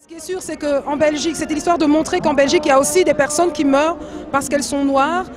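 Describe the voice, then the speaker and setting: A woman's voice: high-pitched, fairly even intonation, fast talker. A woman organizer explaining the aims of a protest, with hesitant fillers. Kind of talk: interview answer. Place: outdoors at a rally. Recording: music and crowd behind.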